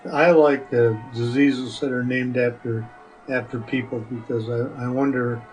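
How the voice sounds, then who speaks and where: A man with a mid-range voice answers questions casually as a podcast guest. He is hesitant and halting, with faint music behind him. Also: mid-range voice, fairly even intonation, low-quality recording.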